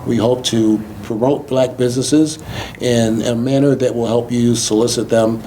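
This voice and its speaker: A man's voice: medium pitch, steady tone, moderate pace, mellow. A man hosting a conversational interview show, affirming his guest and rephrasing what he hears. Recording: clearly noisy background ambience.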